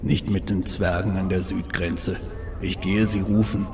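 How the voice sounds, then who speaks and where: A man's voice: deep voice, little pitch movement, measured pace, darkish tone, faint music behind. An actor playing a big, loyal male troll guard in a fantasy audio drama, with a weighty delivery. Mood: calm, steady. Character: big deep-voiced male troll guard, loyal husband, plain-spoken.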